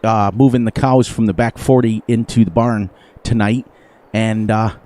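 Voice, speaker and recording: A man streaming a farming game live, chatting casually with viewers, his voice a little hoarse. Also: fairly low pitch, steady tone, moderate pace, mellow. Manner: rambling, playful, sing-song repetitions. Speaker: gaming livestreamer. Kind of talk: casual live gameplay commentary.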